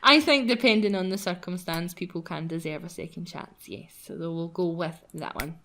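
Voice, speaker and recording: A woman's voice: lowish voice, moderate pace, sharp tone. A woman hosting a let's play with friends, chatting casually in a giggly way and reading game text aloud.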